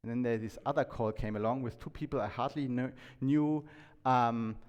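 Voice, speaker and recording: A man's voice: medium pitch, darkish tone, clean tone, muffled recording. Man presenting in an echoey hall. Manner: halting, restarts sentences repeatedly; conversational, asks the audience questions. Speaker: conference speaker. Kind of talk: informal tech talk presentation to an audience. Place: indoor talk venue, reverberant room. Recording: echoey hall with long reverb.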